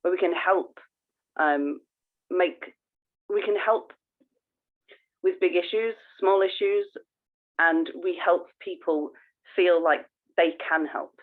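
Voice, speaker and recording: A woman co-hosting a casual podcast, halting and earnest as she thinks aloud. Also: on the low side. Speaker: podcast co-host. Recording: tinny, phone-like narrow band.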